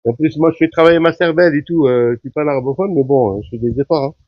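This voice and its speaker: A man's voice: on the high side, fast, dry recording, lo-fi sound. A man calling in on a phone app, debating casually and pleading for a chance to speak. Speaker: caller. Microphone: phone or app call.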